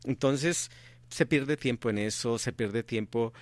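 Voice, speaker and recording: A man's voice: mid-range voice, a little slow, no echo. A middle-aged man from Colombia, answering interview questions earnestly and repeating his key points for emphasis. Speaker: interview guest, activist father.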